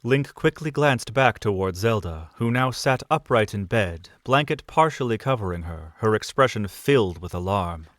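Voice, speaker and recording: A man narrating a romantic fan audio drama, measured and soft. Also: fairly low pitch, expressive, no echo, studio quality.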